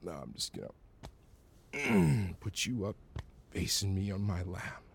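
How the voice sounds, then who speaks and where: A very deep male voice with a gravelly, fry-heavy edge, drawn out in slow, unhurried phrases. He murmurs and half-whispers in an intimate roleplay monologue, sighing and moaning softly, with a lively pitch that swoops between lines. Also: slight background noise. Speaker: solo audio roleplay performer.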